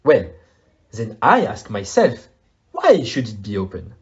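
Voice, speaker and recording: A man playing a fussy, courteous middle-aged detective with a French accent and a theatrical, deliberate manner in an audio play. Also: fairly low pitch, wildly swooping pitch, lo-fi sound. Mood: proud, dramatic.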